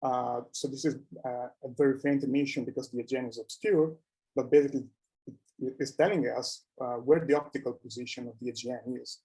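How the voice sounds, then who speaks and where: A man presenting research at a scientific conference, speaking haltingly and often repeating words. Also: mid-range voice, steady tone, dark tone, rough recording.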